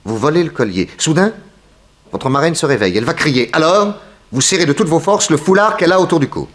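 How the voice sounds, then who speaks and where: Shrewd radio-play detective: commanding, accusatory, theatrical, pitch swinging widely. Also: male voice, lively intonation, a little fast. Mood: accusing, commanding. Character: shrewd, authoritative male detective confronting suspects. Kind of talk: 1960s French radio mystery acting.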